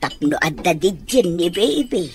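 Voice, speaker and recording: A woman's voice: on the low side, big pitch swings, normal speed, a little mellow, slight background noise. An actress with an Ilocano accent playing a doting, fussing mother and grandmother-to-be in a radio comedy drama. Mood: fussing, caring.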